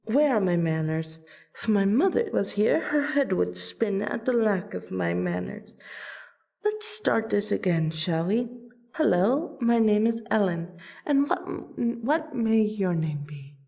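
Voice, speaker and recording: Woman voicing a slow, mellow royal vampire lady. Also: mid-range voice, expressive, vocal fry. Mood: polite, guarded. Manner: polite, theatrical, deliberate. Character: courteous royal female vampire, loyal friend. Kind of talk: scripted fantasy audio roleplay.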